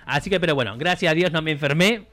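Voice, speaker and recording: A man's voice: on the high side, quick pace, a bit bright. A man with a Rioplatense Spanish accent, a guest on a radio show, telling a travel story in casual chat with many fillers and restarts. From Argentina.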